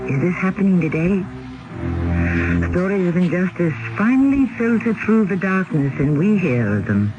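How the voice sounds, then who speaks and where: A man closing a radio mystery show with grave, measured scripted narration. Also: sits high. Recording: dull old radio recording with music behind.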